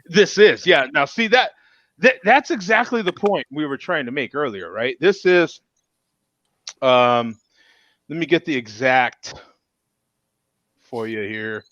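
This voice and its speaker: Male host, highish lively voice, folksy casual chatter, repeats himself, dry but slightly dull sound. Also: slow pace, pauses often, no echo. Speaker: show host. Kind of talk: casual chat.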